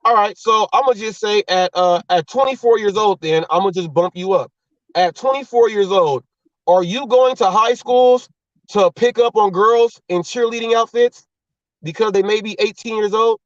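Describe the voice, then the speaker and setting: A high-pitched man hosting a talk show, talking bluntly and cursing freely, circling back to hammer the same point. His voice sounds dull and set back from the mic, with no room echo. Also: moderate pace, far from the mic. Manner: blunt, swearing, repeats himself when worked up. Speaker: host. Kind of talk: heated casual talk show chat.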